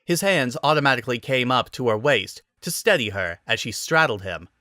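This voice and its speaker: A man giving an expressive scripted narration of a fan fiction story. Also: medium pitch, brisk, dry recording, clean studio sound.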